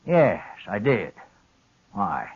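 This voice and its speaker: An American actor playing a stubborn, plain-spoken old rancher with a rural western accent in a 1950s radio western. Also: male voice, lowish voice, very animated, very slow, husky, full character voice. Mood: blunt, defiant. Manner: plain-spoken, folksy, defiant. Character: stubborn, blunt old rancher, protective father. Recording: dull vintage radio recording.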